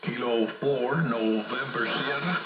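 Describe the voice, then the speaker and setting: A man working as a ham radio operator with measured procedural phrasing, heard over AM radio. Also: medium pitch, highs cut off. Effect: AM shortwave radio. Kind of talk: radio calling and casual exchange. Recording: noisy, echoey AM radio signal.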